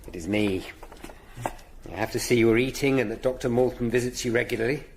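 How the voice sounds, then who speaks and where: An actor playing a gentle, concerned Tudor lawyer in a period radio drama. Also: male voice, medium pitch, a little fast, slight background noise, close to an everyday voice. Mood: gentle, coaxing.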